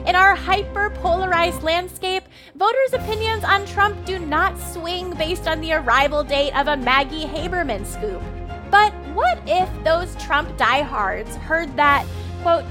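Self-righteous cartoon news anchor, very high and swooping, emphatic, music underneath. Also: female voice. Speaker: news host. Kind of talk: satirical news commentary.

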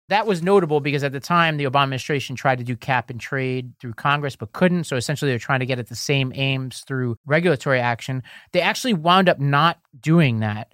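A man's voice: mid-range voice, fairly bright tone, dry recording. Man explaining in a dry close voice. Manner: explanatory, walks through legal details step by step. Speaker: podcast co-host. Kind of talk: casual political podcast chat and ad read.

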